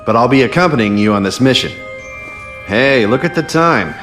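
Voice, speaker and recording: Laid-back, lively male squad leader, acted. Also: mid-range voice, moderate pace, soft background music, lightly acted. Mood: brisk, casual. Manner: casual, offhand, joking. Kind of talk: video game cutscene voice acting.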